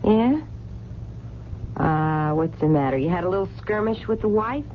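A working-class woman of the late-night diner , acted out by a woman, quick with blunt, ungrammatical advice and kind underneath. She is heard in an old radio drama with a muffled band and faint noise. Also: lowish voice, animated, lightly acted. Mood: breezy, advising. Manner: streetwise, colloquial, ungrammatical. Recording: dull, noisy vintage radio broadcast.

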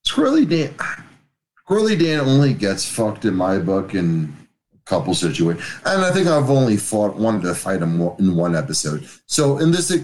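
A man in his thirties chatting casually as a podcast co-host, animated and playful, swearing freely. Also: on the low side, moderate pace, slight background noise. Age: 30s. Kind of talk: casual chat about a TV show.

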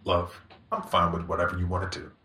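An actor playing an easygoing, wealthy master of the house in a casual audio drama style. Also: male voice, sits low, completely flat delivery, fairly quick, bright, forceful tone. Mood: affectionate, easygoing.

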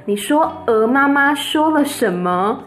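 A woman's voice: high voice, animated, unhurried, pitched above the performer's own voice. A woman voicing a puzzled, worried farm mother who asks incredulous questions. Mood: baffled.

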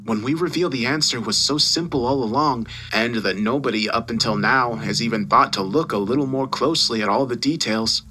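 A man acting as a domineering newspaper boss in an audio drama. He is forceful and condescending, with a bright, hard-edged delivery that mocks and bullies his employee. Faint noise sits behind the voice. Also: mid-range voice, a little fast, few pauses, lightly acted. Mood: intense, persuasive. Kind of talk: dramatised audio story dialogue.

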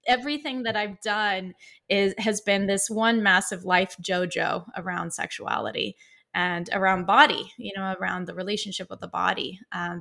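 A woman's voice: mid-range voice, creaky, sharp tone. Chatty woman psychotherapist on a podcast. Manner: expansive, laughs easily, many fillers. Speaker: psychotherapist guest. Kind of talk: podcast interview answer.

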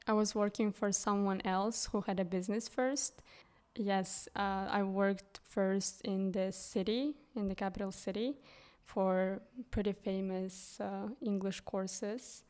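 A young Kyrgyz woman talks in an unhurried, hesitant way, stopping often to search for words. Her voice is mellow and fairly flat in pitch. She speaks English as a third language, with a clear Kyrgyz accent. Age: young adult. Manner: hesitant, many ums and restarts. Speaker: guest, English teacher. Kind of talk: casual interview.

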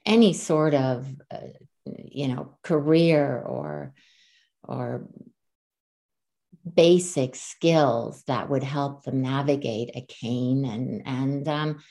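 A Czech-born woman in her sixties with a low voice, speaking as a podcast guest. She is earnest and reflective and often restarts mid-sentence while she recalls things. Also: slow, vocal fry, warm tone. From Czech Republic. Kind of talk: conversational interview. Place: Calgary, Canada.

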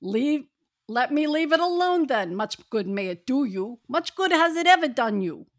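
Woman playing a bitter old miser, scornful, snapping, high-pitched, dry sound. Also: big pitch swings, moderate pace, dry recording. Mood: dismissive.

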